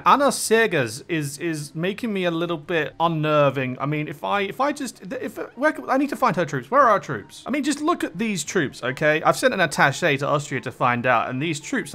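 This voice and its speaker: A chatty young British man narrating his strategy game playthrough, pitched a bit above most men. He rambles, cracks jokes and laughs at his own mistakes. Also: sharp tone. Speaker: gaming YouTuber. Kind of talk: casual strategy game commentary.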